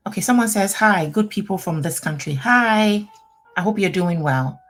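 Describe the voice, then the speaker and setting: A middle-aged woman attorney, answering viewers' questions on a live stream in a chatty, animated way. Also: mid-range voice, moderate pace, soft background music. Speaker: immigration attorney.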